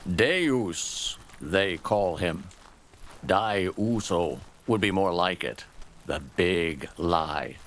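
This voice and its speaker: A man's voice: sits low, expressive, a bit bright, a little hiss or hum, pitched below the performer's own voice, full character voice. A man voicing a scornful, vehement old soldier. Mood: vehement contempt. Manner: emphatic, contemptuous, drawn-out key words. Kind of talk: storybook character dialogue.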